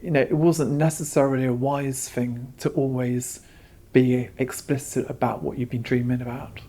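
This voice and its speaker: A man explains his subject as an interview guest. His voice is slightly rough and husky, at an average pitch, and his delivery is emphatic, doubling back on words. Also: flat delivery, normal speed, dark tone. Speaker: curator being interviewed.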